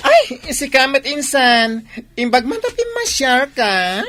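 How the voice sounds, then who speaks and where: A woman's voice: high voice, big pitch swings, takes it slowly, a bit bright, heavily acted. Sassy, effeminate young village man acted by a woman. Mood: aggrieved. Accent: Ilocano. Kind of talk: Ilocano comedy radio drama acting.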